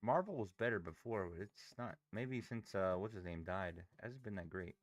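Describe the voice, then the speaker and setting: Guy streaming day trades, kinda hoarse, low voice, joking w chat, dry mic. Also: expressive, moderate pace, dry recording. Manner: chatty, banters with chat while reading charts. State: hoarse, losing his voice. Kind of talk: casual live-stream chat.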